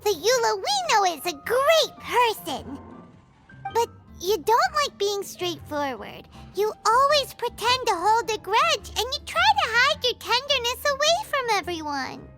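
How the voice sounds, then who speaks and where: A tiny fairy sidekick chatters away in a squeaky, very high voice that leaps all over the place. She is bubbly, fussy and excitable, and talks about herself in the third person. Game music plays clearly behind her. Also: measured pace, exaggerated voice. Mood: gentle. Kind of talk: game cutscene voice acting. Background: background game music.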